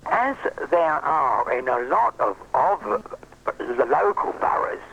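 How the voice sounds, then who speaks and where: A man hosting a radio phone-in, putting measured questions to callers and guests. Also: fairly high pitch, sing-song, vocal fry, fairly bright tone, lo-fi sound, a little hiss or hum.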